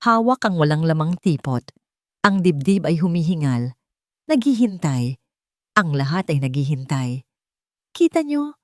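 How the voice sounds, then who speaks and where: A woman narrates a dramatic audio story in a lowish voice with very lively intonation. Her delivery is theatrical and suspenseful, captured in a dry, close recording. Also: moderate pace, pauses often, no room sound.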